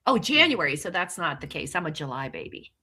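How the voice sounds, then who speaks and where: A woman's voice: low voice, sing-song, a little fast, sharp tone. A woman in her sixties co-hosting a casual online chat, upbeat and motivational. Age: 60s. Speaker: co-host.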